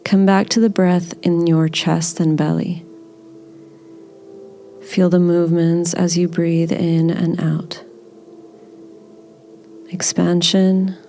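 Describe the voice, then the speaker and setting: Low, slow, mellow woman guiding meditation. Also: vocal fry. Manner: gentle, soothing, unhurried. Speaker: meditation guide. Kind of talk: guided body scan meditation.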